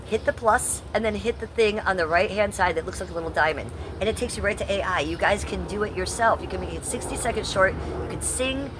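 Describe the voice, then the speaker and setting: A woman's voice: mid-range voice, a little fast, few pauses, background noise. Blunt, foul-mouthed woman on a livestream. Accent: Ohio. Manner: blunt, profane, sarcastic. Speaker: livestream host. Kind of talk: casual livestream chat.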